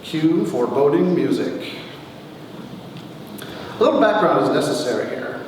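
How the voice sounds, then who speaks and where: A middle-aged man giving a wry, theatrical reading of his own comic memoir as its author, with deliberate pauses, recorded in a large echoey hall from a distance. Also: on the high side, animated. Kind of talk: dramatic comic memoir reading to a live audience. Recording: echoey hall, distant mic, faint noise.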